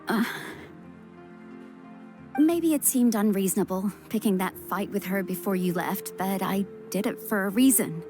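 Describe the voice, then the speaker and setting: Young female game healer acted by a woman: guarded, caring, earnest delivery, medium pitch. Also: a little slow, darkish tone, lightly acted. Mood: earnest, explaining. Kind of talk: anime game voice acting. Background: game music.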